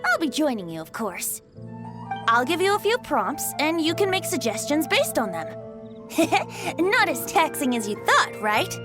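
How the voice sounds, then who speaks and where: An actor voicing a breezy, theatrical young male bard in a video game, smooth-talking and teasing. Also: very high-pitched, big pitch swings, unhurried, over music, full character voice. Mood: playful, coaxing. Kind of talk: video game character acting.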